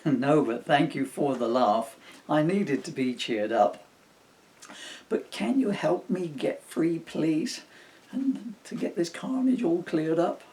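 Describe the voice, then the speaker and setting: A man's voice: fairly high pitch, slow pace, darkish tone, distant mic, voice pushed up. A man voicing an anxious, self-blaming reindeer in a children's storybook, with fretful, exclaiming delivery. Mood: grateful, pleading.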